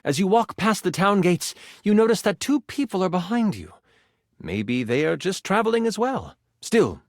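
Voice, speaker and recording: A playful living die running a tabletop adventure in a video game. His voice sits a little high and moves widely in pitch as he tells the story with theatrical drama and eager asides. The sound is dry and studio-clean. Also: no echo. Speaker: game master.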